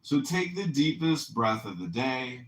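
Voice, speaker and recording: A man's voice: medium pitch, sing-song, unhurried. A man hosting a call-in podcast, talking in a sarcastic, lecturing way and drawing out his questions. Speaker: podcast host. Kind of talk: mocking call-in chat. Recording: roomy, distant mic.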